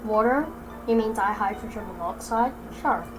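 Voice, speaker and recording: A woman's voice: mid-range voice, normal speed. A young woman hosting a gaming channel, chatting in a casual, offhand and joking way over gameplay. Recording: commentary over loud game music.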